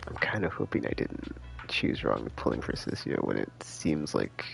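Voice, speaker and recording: Very deep, gravelly, animated male streamer. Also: moderate pace, rough recording. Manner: rambling, enthusiastic, slangy. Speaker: game streamer. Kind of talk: casual live stream commentary. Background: game music.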